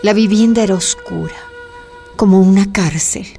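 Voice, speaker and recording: A woman narrates a radio drama from a script. Her voice sits at a medium pitch, with music clearly playing behind it. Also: moderate pace, mellow.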